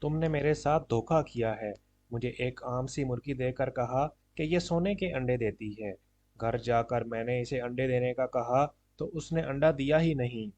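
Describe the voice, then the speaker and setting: A man's voice: mid-range voice, normal speed, a little mellow, no room sound, close to an everyday voice. A man voicing a greedy, simple-minded, blustering old farmer in a cartoon moral story. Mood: aggrieved.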